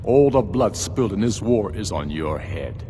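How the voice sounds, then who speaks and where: Defiant warlord for a fantasy game, male actor: deep, forceful, proud, ringing in a large hall. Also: animated, slight background noise, close to an everyday voice. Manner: haughty, forceful, deliberate. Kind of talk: dramatic fantasy game dialogue.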